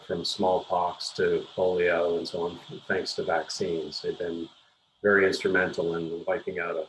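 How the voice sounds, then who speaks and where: An older man with a Canadian accent speaks in a deep, very even voice. He explains things carefully and haltingly as an interview guest, with noticeable noise behind him. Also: rough recording. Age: 50s or older. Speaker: interview guest, pharmaceutical scientist and executive. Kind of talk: measured explanatory interview talk.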